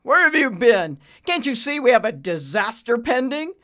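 An arrogant bank boss played by a woman barks out his lines with loud, demanding force. His pitch jumps around as he bullies and dismisses people, in the manner of an audiobook villain. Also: sing-song, measured pace. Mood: angry, shouting. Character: rude, bullying, arrogant male bank boss. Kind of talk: audiobook character voice.

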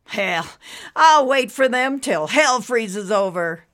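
A woman reading aloud, voicing a thin, balding man who talks in a gruff, stubborn, colloquial way. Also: on the high side, lively intonation, slow pace, fairly bright tone, voice pushed up. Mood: grumbling. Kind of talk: storybook character voice.

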